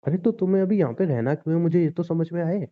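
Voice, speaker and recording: A man's voice: a little high, quick pace, natural voice. A man playing a resigned, injured husband in a relationship audio drama, reasoning insistently and pleadingly with his estranged wife. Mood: frustrated, pleading. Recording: tinny, narrow phone-like sound.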